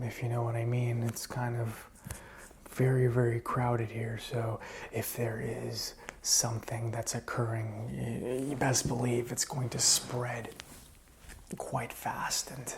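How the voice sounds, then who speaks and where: A man playing a calm, helpful survivor in a zombie apocalypse ASMR roleplay, whispering and speaking softly in a hesitant, intimate, musing way. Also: medium pitch, gravelly, a little hiss or hum. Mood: hushed, explanatory.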